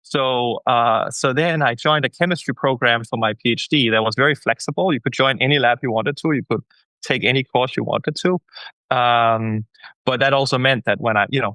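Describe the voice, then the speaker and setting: Halting man, bright voice. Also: mid-range voice, no echo, rough recording. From Denmark. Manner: hesitant, many restarts and repeated words. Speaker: guest scientist. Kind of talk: conversational interview answers.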